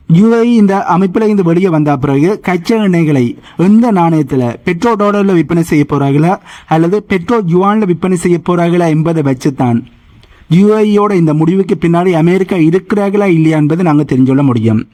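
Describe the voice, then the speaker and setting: A man's voice: on the high side, lively intonation, brisk, mellow. A man hosting a news explainer, delivering scripted commentary in an emphatic, explanatory way. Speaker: host of a news explainer channel.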